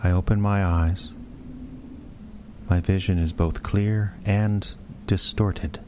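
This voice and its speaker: An actor playing a dazed office man in a radio play monologue, speaking in halting, deliberate short phrases. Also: low-pitched, steady tone, background noise. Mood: calm wonder. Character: dazed office man haunted by a moose, slow and hushed.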